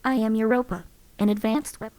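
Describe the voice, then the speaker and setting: Female synth AI companion, a weapon-control chip in a synthetic body, cool and self-assured; voiced by a woman, higher-pitched, dry and close, measured game lines. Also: fairly even intonation, darkish tone, no echo, natural voice. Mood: calm. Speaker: voice actress for a companion character. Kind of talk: game character voice lines played back.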